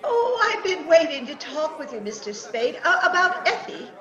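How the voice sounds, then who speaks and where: A woman's voice: sits high, very animated, moderate pace, a bit bright, a faint crowd. A woman playing a gushing, sociable older mother hosting a party, fluttery and effusive, in old-time radio style over an echoey, muffled video call. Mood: gushing, excited. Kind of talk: old-time radio comedy-drama acting. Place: actor's home, recorded over video call.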